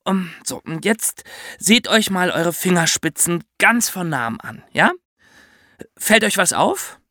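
A man's voice: high-pitched, animated, unhurried, sharp tone, dry recording, clean studio sound. A male co-host of a children's podcast, explaining things instructively and addressing young listeners directly.

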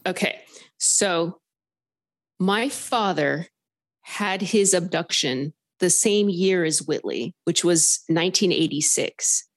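A woman's voice: fairly low pitch, slow. Chatty woman telling a rambling story. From Southern California. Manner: animated, rambling, heavy use of filler words. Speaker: caller sharing a paranormal story. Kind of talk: casual storytelling on a phone-in podcast.

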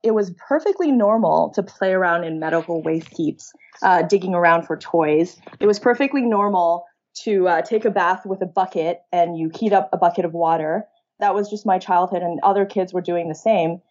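A woman's voice: lowish voice, normal speed, darkish tone. A woman, chatting casually as a podcast guest over a remote recording. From born in rural China, moved to Canada as a child. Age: 30s. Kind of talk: casual interview chat. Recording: dull, lo-fi remote audio.

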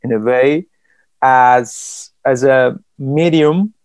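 A man from Iran, a writer, speaking in an interview in a thoughtful, halting way with many restarts and fillers. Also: mid-range voice, a little mellow, clear, resonant tone, dry recording. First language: Persian.

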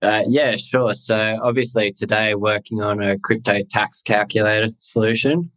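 A man, answering interview questions in a measured, hesitant way with many fillers. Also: lowish voice, steady tone, a bit bright. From Australia. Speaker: guest, crypto tax software founder. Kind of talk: casual interview.

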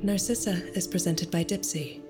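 A woman's voice: on the low side, normal speed. A woman reading scripted podcast announcements. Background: guitar music.

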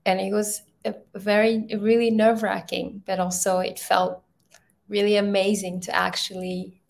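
Halting, gravelly woman on a video call. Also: mid-range voice, flat delivery. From Ethiopia. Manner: thoughtful, halting, many restarts and ums. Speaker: filmmaker guest.

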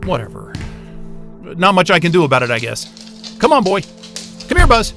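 A man's voice: high-pitched, huge pitch swings, moderate pace, pushed and bright, soft background music, heavily acted. A man playing an irritable, foul-mouthed astrophysicist at a polar station in a naturalistic audio drama, with a blunt, sarcastic, agitated manner. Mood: skeptical, sullen.

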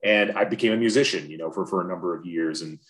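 A man's voice: low-pitched, fast. A man in his forties from Southern California, a podcast guest talking reflectively and earnestly, often restarting his sentences. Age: 40s. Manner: reflective, earnest, frequent restarts and repeated words. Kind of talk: casual interview conversation.

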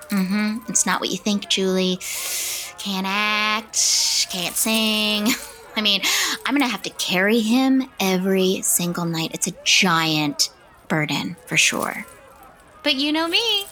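A woman's voice: mid-range voice, animated, slow pace, pushed and bright, rough recording. A woman playing a vain, pompous Broadway star in a scripted comedy audio drama, talking in a theatrical way. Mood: smug, catty. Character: haughty self-important Broadway diva star, indignant and vain.

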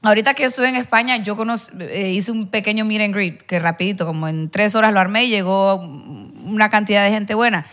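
A woman's voice: medium pitch, a little fast, hardly any pauses, a bit bright. A woman with a Honduran accent, chatting as a guest on a podcast and giving her opinions bluntly. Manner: outspoken, opinionated. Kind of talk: casual chat.

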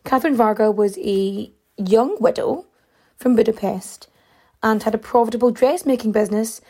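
A woman with a fairly high but soft, mellow voice, talking her way through a story in a loose, chatty way with lots of fillers. Her pitch jumps up and down as she gets into it, and her voice is wearing thin and a little hoarse. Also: normal speed. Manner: chatty, many fillers, big pitch swings. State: losing her voice. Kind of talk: casual storytelling.

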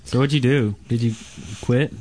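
A man's voice: medium pitch, steady tone, normal speed, darkish tone, slight background noise. A man on a podcast chiming in with brief, casual reactions and questions. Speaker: podcast participant. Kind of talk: casual chat.

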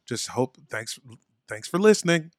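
A man's voice: on the high side, sing-song, measured pace. A man co-hosting a podcast in casual comedic banter, riffing playfully. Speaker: podcast co-host.

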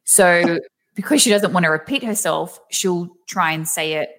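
Young Australian woman, thick accent, giggly and chatty, lowish voice; casual guest chat. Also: normal speed, a bit bright.

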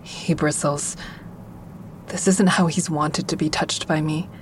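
A woman's voice: low-pitched, fairly even intonation, a little slow, slight background noise. An actress playing a tense, watchful woman who narrates her own troubled story in an audio drama. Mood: somber, uneasy. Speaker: narrator and lead actor in a fiction podcast. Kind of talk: first-person dramatic narration.

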